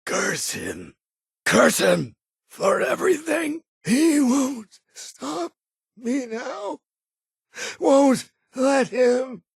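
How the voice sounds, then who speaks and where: An actor voicing a tormented, paranoid figure in a video game, raving in broken fragments with a raspy, creaky voice. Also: high voice, sing-song, extremely slow, no room sound, clean studio sound, exaggerated voice. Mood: frantic, anguished. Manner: broken, muttered fragments bursting into cries. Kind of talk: video game character dialogue.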